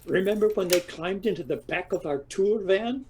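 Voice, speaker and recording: Radio play husband for a male actor: dim, relaxed and mellow, dryly needling. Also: high-pitched, normal speed, a little hiss or hum, close to an everyday voice. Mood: dry teasing. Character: Joe, dim, teasing husband of a diva. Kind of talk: radio drama acting.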